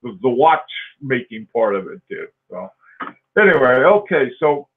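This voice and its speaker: A man's voice: mid-range voice, lively intonation, unhurried, husky. Man on a tinny call line. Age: 40s. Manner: rambling, laughing often, with many fillers and restarts. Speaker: host. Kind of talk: casual live-stream chat.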